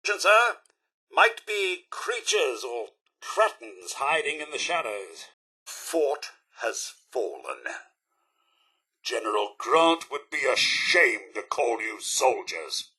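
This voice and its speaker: A man's voice: mid-range voice, big pitch swings, slow, sharp tone, slightly rough, exaggerated voice. A man voicing a courteous, chipper robot butler in a video game, with a British accent and a formal, theatrical manner. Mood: disappointed. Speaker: robot servant and tour guide. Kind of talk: video game character voice lines.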